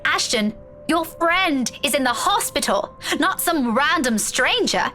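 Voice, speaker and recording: A woman's voice: high voice, expressive, unhurried, sharp tone, soft background music, heavily acted. An actress in a visual novel playing a headstrong, exasperated young woman who speaks with sarcastic, accusing bite. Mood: angry reproach.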